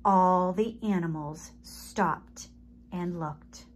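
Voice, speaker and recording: Slow, expressive woman reading a children's story. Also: on the low side. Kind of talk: children's story read-aloud.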